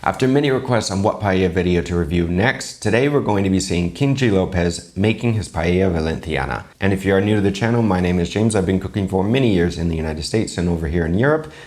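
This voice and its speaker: Deep-voiced chef, chatty reaction host, explains things at length. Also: male voice, animated, fast, hardly any pauses, darkish tone. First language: English. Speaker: chef reviewing cooking videos. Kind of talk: casual video commentary. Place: lives in Spain.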